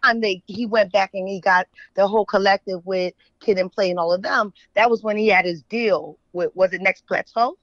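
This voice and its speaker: A woman's voice: medium pitch, moderate pace, hardly any pauses, no echo. A middle-aged woman with a Philadelphia accent mixed with New York, chatting casually and telling stories in slang as an interview guest. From West Philadelphia, United States. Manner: animated slangy storytelling, checks in with "right?". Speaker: radio show guest, writer. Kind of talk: casual interview chat, reminiscing. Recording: dull, muffled audio.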